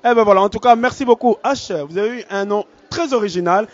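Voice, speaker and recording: A man's voice: high-pitched, fast talker. A man interviewing guests at a beauty pageant gala for a web channel, upbeat and formal. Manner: upbeat, formal, repeats announcements. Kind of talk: live red-carpet interviews at a gala. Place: crowded gala event hall. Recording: muffled lo-fi event audio.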